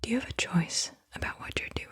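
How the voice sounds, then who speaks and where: A woman hosting ASMR, speaking softly and deliberately in a breathy whisper. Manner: soft-spoken, deliberate questioning. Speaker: ASMR host.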